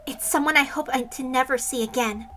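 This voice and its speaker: Woman voicing a solemn, high-voiced ancient priestess. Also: fairly quick, sharp tone, slight background noise. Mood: grim recognition. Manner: formal, grave. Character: solemn ancient horned female priestess, defiant and stern.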